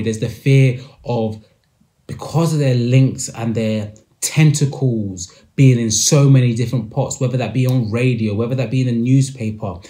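Animated young man commenting. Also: medium pitch. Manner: animated, emphatic with hand gestures. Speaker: podcast host. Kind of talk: commentary to camera. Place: small room indoors.

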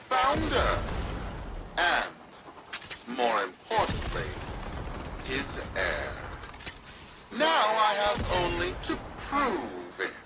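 Pompous, wildly swooping corporate zealot. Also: male voice, high-pitched, exaggerated voice. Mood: grandiose, triumphant. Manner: pompous, theatrical, oratorical pauses. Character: pompous, grandiose corporate zealot, smug would-be heir. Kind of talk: video game villain speech.

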